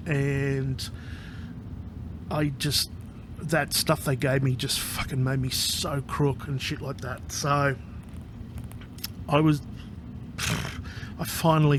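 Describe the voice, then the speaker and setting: A man's voice: medium pitch, a little hiss or hum. A man talking straight to camera in a casual vlog, rambling, candid and profane, with an anxious, agitated edge. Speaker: vlogger.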